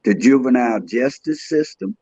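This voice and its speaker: A man's voice: medium pitch, animated, moderate pace, warm tone, husky. A man in his sixties, a podcast guest speaking in an earnest, streetwise, plain-spoken way in casual conversation. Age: 60s. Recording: dry, dull lo-fi sound.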